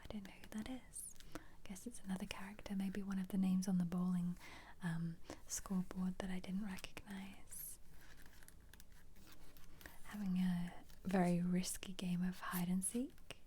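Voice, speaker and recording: A woman speaking as an ASMR host in a gentle whisper, musing casually and without hurry as she flips through a book. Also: on the low side, level tone, unhurried, slight background noise. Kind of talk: soft-spoken ASMR book flip-through.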